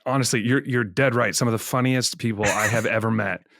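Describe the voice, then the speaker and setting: A man's voice: medium pitch, steady tone, a little fast, vocal fry, a bit bright, no room sound, clean studio sound. Enthusiastic chatty male podcast host. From Netherlands. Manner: chatty, enthusiastic, self-deprecating. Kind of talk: casual interview chat.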